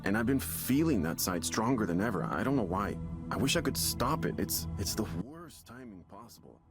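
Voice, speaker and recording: A man voicing an anxious young father in a visual novel game, speaking in halting, stammering confession as if hiding part of himself. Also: lowish voice, sing-song. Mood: anguished, guarded. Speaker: visual novel character in a therapy session. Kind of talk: naturalistic game voice acting. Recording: music behind the voice.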